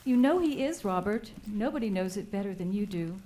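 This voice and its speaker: A woman playing an indignant, sharp-tongued wife and mother in a live radio drama, biting and emphatic. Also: medium pitch, lively intonation, a little fast, a little hiss or hum, close to an everyday voice.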